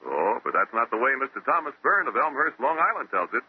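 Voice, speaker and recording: A man's voice: mid-range voice, big pitch swings, fast talker, breathy edge. A man announcing and narrating in a polished 1940s radio style. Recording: old tinny radio recording.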